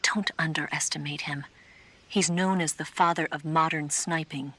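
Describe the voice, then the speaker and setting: A poised spy in a video game. Her voice is very low for a woman, breathy yet bright and intense. She briefs in a hushed, serious, cautioning tone. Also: steady tone, normal speed, exaggerated voice. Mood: serious warning.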